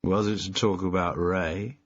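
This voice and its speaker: A man doing a slow hulking tough guy with an American twang. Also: sits low, steady tone, no room sound, dull audio. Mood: flat menace. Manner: slight American twang, borrowed from action movies; staccato, rehearsed, unspontaneous.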